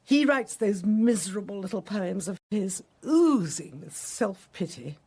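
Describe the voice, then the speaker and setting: A grand older upper-class Englishwoman in a radio play. She speaks with sweeping, lively intonation and a husky edge, imperious and effusive by turns. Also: medium pitch, unhurried. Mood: indignant. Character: genteel, imperious older lady of an English country house. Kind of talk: radio drama acting.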